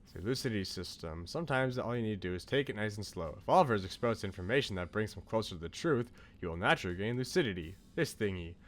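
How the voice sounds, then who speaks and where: A man's voice: on the low side, expressive, fast talker. A man streaming a game casually, chatting and reading on-screen text aloud. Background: faint game music.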